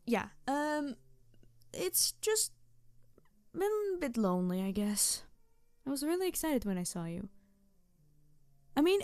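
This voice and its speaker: A mischievous tanuki spirit girl, acted by a woman in a roleplay audio drama, with a fairly high voice recorded very dry and close. She teases and rambles excitably, hesitating and backtracking like a trickster who cannot stand silence. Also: no echo. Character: playful, mischievous young-sounding tanuki trickster spirit girl, over two hundred.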